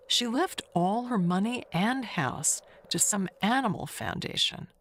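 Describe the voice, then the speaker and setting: A woman's voice: sits low, slight background noise, full character voice. A woman playing a chatty older neighbor in an audio mystery, rambling confidingly and correcting herself with a wistful edge.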